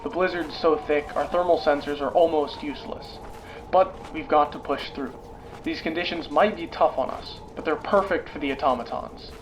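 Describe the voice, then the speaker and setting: Guy doing earnest war correspondent in a sci-fi radio drama, voice a bit high, some ambient noise behind. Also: normal speed, lightly acted. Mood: grave, resolute. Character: earnest, gritty male war correspondent at frozen front. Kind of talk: wartime radio field report.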